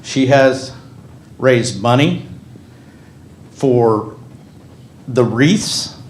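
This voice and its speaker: A man's voice: medium pitch, slight background noise. A man, a county supervisor, reading a formal resolution aloud at a public meeting and adding brief spoken asides. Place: county board meeting room. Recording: echoey meeting chamber.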